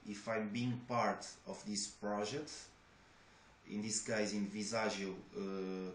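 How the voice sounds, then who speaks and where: Young Portuguese-accented man, low-ish voice, hesitant interview answers, roomy echo. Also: little pitch movement, takes it slowly. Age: young adult. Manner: hesitant, many restarts and fillers. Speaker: job applicant. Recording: echoey room with faint noise.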